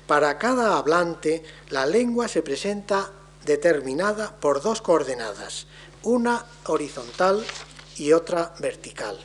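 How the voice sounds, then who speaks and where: A man delivering a formal academic lecture on linguistics in a measured, deliberate way, his phrases trailing off softly. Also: fairly high pitch, breathy edge.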